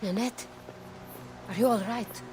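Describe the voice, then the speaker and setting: An actress playing a compassionate young priestess and healer in a video game, with an earnest, formal way of speaking. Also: female voice, fairly high pitch, a little slow, noisy background, close to an everyday voice. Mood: gentle, resolute. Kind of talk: dramatic video game acting.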